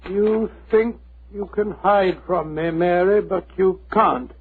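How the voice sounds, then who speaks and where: A man playing an amiable older English gentleman sidekick, blustery and stammering, in a 1940s radio drama. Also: sits high, slow pace, breathy edge, exaggerated voice. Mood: concerned. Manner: blustery, stammering, easily astonished. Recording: muffled narrow-band old radio recording.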